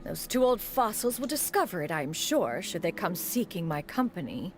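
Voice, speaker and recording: A woman's voice: on the low side, sing-song, moderate pace, noisy background. A woman voicing a proud, aloof immortal adept in a video game, speaking in a haughty, formal manner. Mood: haughty, dry. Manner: haughty, formal, precisely worded. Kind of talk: video game character dialogue. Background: faint music.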